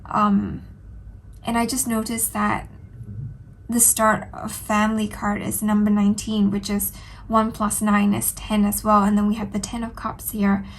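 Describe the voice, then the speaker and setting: A woman sits with her cards and talks through a reading in an unhurried, earnest way. Her voice is a touch nasal and stuffy from a cold, set at an ordinary middle pitch. She fills the pauses with soft ums and keeps coming back to how she feels about the cards. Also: completely flat delivery, slight background noise. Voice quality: slightly nasal. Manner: gentle, earnest, with frequent ums and "I feel like". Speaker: tarot reader. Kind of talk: solo tarot reading to camera.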